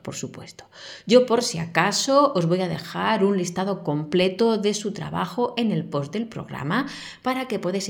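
A woman's voice: fairly low pitch, darkish tone. A woman with an accent from Spain, presenting as a podcast host in scripted narration. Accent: Spain Spanish.

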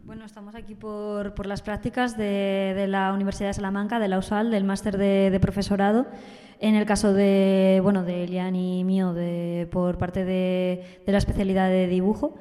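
A young woman gives halting answers as a guest in a casual interview. Her voice is soft-edged and quite flat in melody, with long reverb around it. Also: mid-range voice. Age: young adult. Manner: hesitant, halting explanations. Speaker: student teacher, interview guest.

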